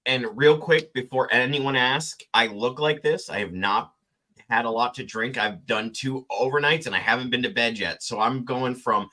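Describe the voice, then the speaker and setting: A man's voice: mid-range voice, moderate pace, pushed and bright. A man hosting a casual chat, weary after staying up all night, loose-talking and easily amazed. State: weary from no sleep. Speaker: podcast host.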